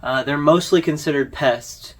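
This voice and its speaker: A man's voice: a little high, unhurried. A man hosting an informal explainer video, talking through his commentary in a conversational, wry way. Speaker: video host.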